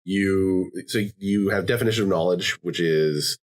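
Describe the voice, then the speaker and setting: A man's voice: sits low, moderate pace. A man hosting a casual podcast chat, rambling with lots of fillers and restarts. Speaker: podcast host.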